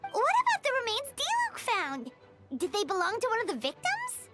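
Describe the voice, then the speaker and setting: A woman voicing a small, childlike, excitable fairy companion in a video game, squeaky and full of questions. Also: moderate pace, full character voice. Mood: puzzled. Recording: loud music behind the voice. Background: game music.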